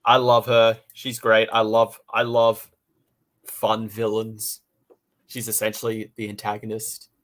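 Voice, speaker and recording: Enthusiastic man in halting fan chat. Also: fairly low pitch, completely flat delivery, a bit bright. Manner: enthusiastic, joking, halting with repeated words. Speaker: podcast co-host and reviewer. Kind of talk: casual fan review chat.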